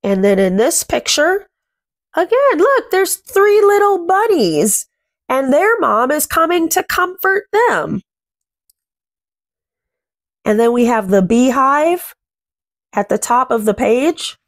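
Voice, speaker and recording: A woman in her thirties reading a picture book aloud to children, commenting and putting questions to young listeners in simple, deliberate phrases. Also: sits high, big pitch swings. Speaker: children's read-aloud host. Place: small furnished room at home.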